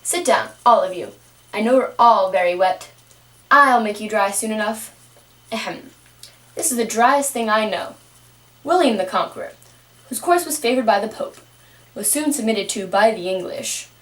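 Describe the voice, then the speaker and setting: A woman's voice: mid-range voice, lively intonation, normal speed, pauses often, a bit bright, lightly acted. A woman voicing a bossy, pompous mouse who lectures in a puppet show. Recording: roomy, distant home microphone.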